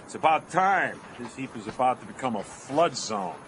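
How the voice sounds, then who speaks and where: An actor playing a crude, whiny, foul-mouthed convict sidekick in a radio drama, with an American accent and slangy wisecracks. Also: male voice, medium pitch, expressive, normal speed, a bit bright, slight background noise, lightly acted. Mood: impatient.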